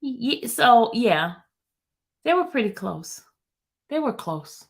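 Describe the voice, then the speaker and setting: A woman reading out a male gang witness's testimony in a lowered voice, answering in quiet, halting phrases. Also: big pitch swings, gravelly, heavily acted. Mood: recalling.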